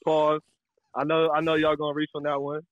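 A man's voice: fairly high pitch, monotone, a little fast, dry recording, highs cut off. A young American man, a caller casually telling a personal story in slangy, profane language.

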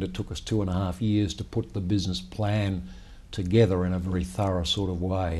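A man in his sixties answers questions with a measured, storytelling manner, often starting with "well, look". His voice is low and mellow. He is recorded on a lapel mic in a high-rise office meeting room. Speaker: company founder and chief executive. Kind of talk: business interview.

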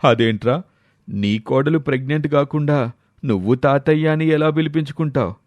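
A man's voice: fairly high pitch, moderate pace, darkish tone, no echo, lightly acted. A man voicing a curious older male friend in a dramatised story, bantering and exclamatory. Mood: curious, amused.

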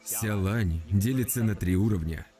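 A man voicing stern, composed men of a martial order in a dubbed fantasy cartoon, speaking in a measured way. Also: fairly low pitch, mellow, soft background music, lightly acted. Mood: formal, composed. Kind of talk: Russian dub of animated fantasy series.

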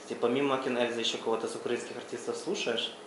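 Very low-voiced fast woman interviewer. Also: fairly even intonation. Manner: brisk questions, often opening with "by the way". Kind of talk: press interview questions. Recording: echoey room with faint background noise.

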